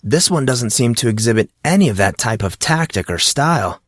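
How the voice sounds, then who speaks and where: A man's voice: mid-range voice, lively intonation, a little fast, no echo, studio quality, natural voice. A man voicing a laid-back teenage boy who is keen on martial arts and talks in casual dude-and-bro slang.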